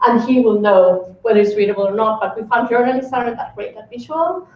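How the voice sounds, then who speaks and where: A woman presenting her team's challenge at a live event, talking briskly with small laughs. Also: a little high. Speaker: hackathon team member presenting. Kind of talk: live pitch to an audience. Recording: echoey room with a dull, lo-fi sound.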